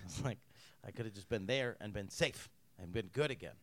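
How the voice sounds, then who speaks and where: A man's voice: on the low side, normal speed, no echo. A man hosting a podcast in casual interview chat, rambling loosely with many restarts and casual swearing. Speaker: podcast host. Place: hotel venue at a convention in Las Vegas.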